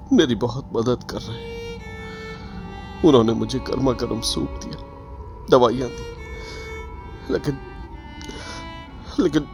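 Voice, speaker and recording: An actor playing an exhausted, guilt-ridden, obsessed scientist in a halting, anguished audio drama monologue. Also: male voice, medium pitch, darkish tone. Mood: weary, failing. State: exhausted, weak.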